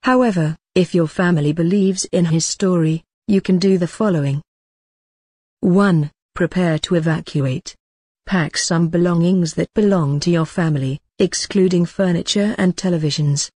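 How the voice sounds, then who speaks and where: A woman voicing a formal emergency broadcast announcer, reading a scripted alert in a grave, measured, deliberate way. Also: on the low side, darkish tone, slightly rough, dry recording.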